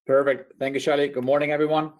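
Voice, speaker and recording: Mellow man with a Swiss accent. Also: a little high, flat delivery, fast. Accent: Swiss German. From Liechtenstein. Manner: conversational, many fillers and restarts. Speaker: webinar presenter. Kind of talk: presenting a technical webinar.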